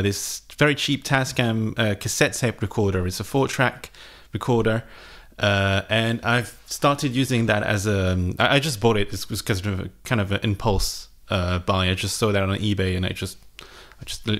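A man in his thirties with a fairly low voice talks off the cuff to camera. He has the casual delivery of a guitarist running a music vlog, recorded on a close microphone in a small home studio. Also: a bit bright. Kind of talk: casual unscripted chat to camera. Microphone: microphone on a boom arm, close.

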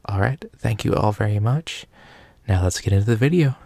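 A man hosting an ASMR channel, speaking softly and gently to viewers, close to the microphone. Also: fairly low pitch, a little fast, gravelly, slightly rough, no room sound. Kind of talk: soft-spoken ASMR.

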